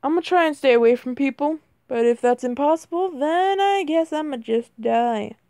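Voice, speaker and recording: A woman's voice: high-pitched, expressive, moderate pace, warm tone, no room sound, studio quality. A woman chatting through a mobile game in a casual, flustered way, her voice cracking, saying "bro" and "like" constantly. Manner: self-deprecating, easily flustered. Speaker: gamer. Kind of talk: casual mobile gameplay commentary.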